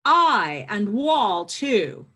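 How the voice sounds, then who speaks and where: Woman playing a witty courtly heckler; very varied pitch, measured pace, playful mockery, amateur video-call reading. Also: sing-song, a bit bright, exaggerated voice. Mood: teasing. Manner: playfully mocking. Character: teasing, quibbling noble spectator at a play. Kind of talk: amateur Shakespeare table read. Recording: home video call recording.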